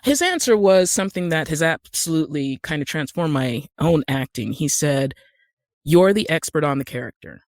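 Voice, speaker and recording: An American man speaking conversationally as an interview guest, telling stories in an animated way. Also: on the high side, normal speed, dry recording. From Utah, United States. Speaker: podcast guest, actor and screenwriter.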